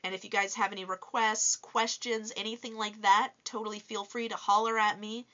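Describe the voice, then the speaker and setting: A woman in her twenties with a low voice, chatting casually and upbeat in a vlog, with many fillers. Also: little pitch movement, normal speed, fairly bright tone, dull audio. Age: 20s. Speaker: toy reviewer and vlogger. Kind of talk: casual vlog answering viewer questions. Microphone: voice-over with face unseen.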